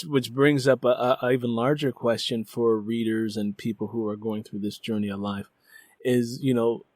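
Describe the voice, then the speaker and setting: A man's voice: medium pitch, darkish tone, no room sound. A man with a mid-range voice works as a podcast interviewer. He talks in a reflective, conversational way, circling back to rephrase his points with emphasis. The sound is very dry, with no room around it.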